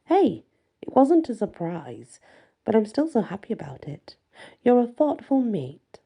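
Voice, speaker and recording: A woman's voice: on the high side, big pitch swings, a little slow, gravelly, dark tone, husky, voice pushed up, heavily acted. A woman voicing a playful, earnest young werewolf woman in a fantasy audiobook, teasing and fond of her mate. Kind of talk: first-person fantasy audiobook dialogue.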